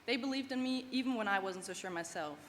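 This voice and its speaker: A woman's voice: mid-range voice, expressive, fast talker. A young woman from Germany, speaking English as a second language, delivers an earnest, heartfelt graduation speech. Her voice rings with long reverb through a large hall, with faint background noise underneath. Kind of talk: prepared commencement speech.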